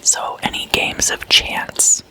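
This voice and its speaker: An ASMR host whispering in an intimate slumber-party chat, addressing listeners as guests and softly repeating words. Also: takes it slowly. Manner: repeats single words in soft trailing echoes.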